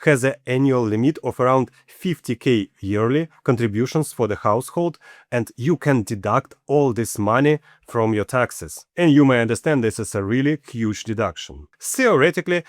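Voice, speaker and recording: A man's voice: medium pitch, no room sound. Ukrainian guy in his 40s doing a money vlog, plain matter-of-fact talk, dry close sound. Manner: matter-of-fact, walks through figures step by step. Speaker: channel host. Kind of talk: personal finance vlog.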